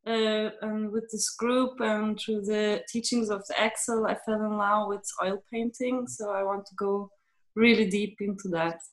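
A woman's voice: mid-range voice, flat delivery, measured pace. A woman from Turkey introducing herself earnestly as a painting student on a video call. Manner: earnest, eager. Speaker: art student. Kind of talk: casual self-introduction.

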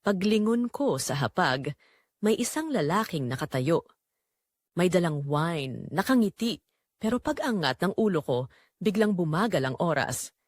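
A woman's voice: on the low side, a little mellow, dry recording. A woman reading scripted, first-person dramatic narration.

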